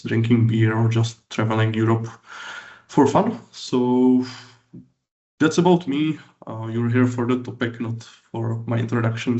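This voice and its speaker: A man with a Czech accent gives a technical conference talk in halting, hesitant non-native English, often repeating words. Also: medium pitch, darkish tone. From Czech Republic. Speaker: conference speaker, BI consultant and lecturer. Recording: roomy, echoing, slightly dull sound.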